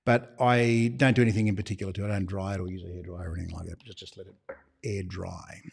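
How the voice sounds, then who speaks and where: A man's voice: sits low, level tone, fast, gravelly, breathy edge. An Australian man who runs a shop, hosting a casual livestream Q&A. He rambles and drops joking, conspiratorial asides. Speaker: shop owner hosting a live Q&A.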